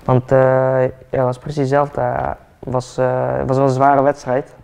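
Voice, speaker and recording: Flat-voiced young man in a barber chair. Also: medium pitch, normal speed, vocal fry, clear, resonant tone. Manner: relaxed, hesitant with many fillers. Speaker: football player, interview guest. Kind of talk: casual interview chat.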